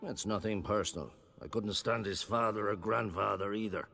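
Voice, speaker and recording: A creaky, grumpy old druid sage. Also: male voice, lowish voice, moderate pace, sharp tone, soft background music. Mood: wry. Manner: archaic, wry, disdainful phrasing. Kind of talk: video game cutscene dialogue.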